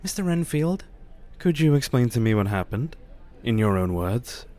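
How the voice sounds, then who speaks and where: An actor playing a lovelorn young doctor who narrates his diary and questions a patient, earnest, formal and measured. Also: mid-range voice, very animated, warm tone, close to an everyday voice. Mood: probing. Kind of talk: audio drama narration.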